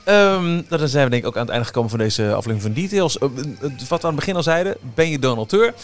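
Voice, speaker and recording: A man's voice: a little high, animated, quick pace. A man hosting a podcast in casual, enthusiastic, informal chat. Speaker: podcast host. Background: background music.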